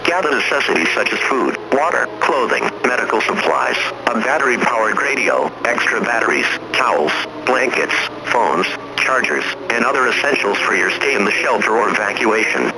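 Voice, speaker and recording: A man reading a scripted civil danger broadcast in a formal, measured, impersonal manner. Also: mid-range voice, expressive, over music, low-quality recording. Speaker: emergency alert announcer.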